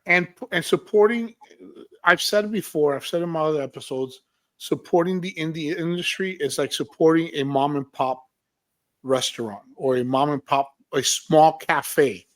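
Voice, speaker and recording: Higher-pitched man hosting a chat show. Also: moderate pace. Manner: emphatic, repeats points, checks in with listeners. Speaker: show host. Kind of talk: casual interview chat.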